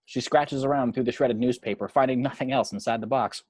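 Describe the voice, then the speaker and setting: A man's voice: mid-range voice, fairly even intonation, a little fast, darkish tone, breathy edge, no room sound. A man reading out the action description of a screenplay as a scripted narrator.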